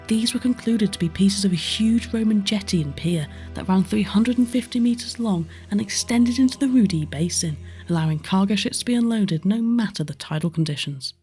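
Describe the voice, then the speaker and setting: A woman's voice: mid-range voice, warm tone. A woman presenting a history tour in scripted narration.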